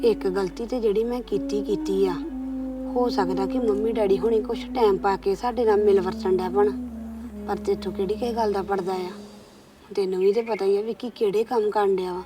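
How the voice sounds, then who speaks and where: A tearful, despairing young wife in a village drama, sobbing through her words, acted by a woman. Her voice sits high, recorded from a distance with faint music underneath. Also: natural voice. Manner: sobbing, despairing, low subdued delivery. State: crying. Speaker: actor. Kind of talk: scripted drama acting.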